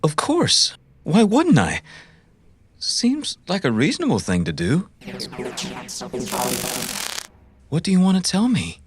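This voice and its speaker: A woman playing a dazed, gentle, suggestible captive in a cyberpunk audio drama, halting and uncertain. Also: fairly low pitch, wildly swooping pitch, faint music behind. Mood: dazed, compliant.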